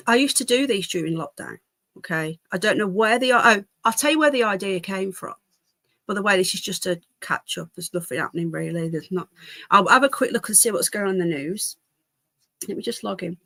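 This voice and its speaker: A woman's voice: medium pitch, a bit bright, dry recording. Congested British woman, chatty livestream host. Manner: chatty, easily distracted, jumping between asides. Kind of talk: casual rambling livestream chat to viewers.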